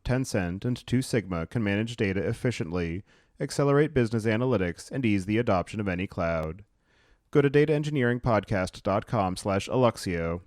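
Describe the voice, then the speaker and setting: Low-voiced man hosting, very dry sound. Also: no echo. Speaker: podcast host. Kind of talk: scripted podcast read and interview.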